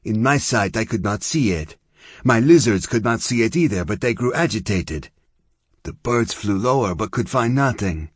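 A man's voice: fairly low pitch, lively intonation, normal speed, husky, no room sound, studio quality, voice pushed up. A man voicing a fierce, foul-mouthed young gang girl with an intense, theatrical delivery. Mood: urgent. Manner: intense, theatrical, non-native phrasing.

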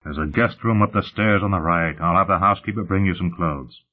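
A man's voice: low voice, brisk. A man voicing a sullen, crude young hothead who talks in a curt, surly way. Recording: muffled phone-like narrow sound.

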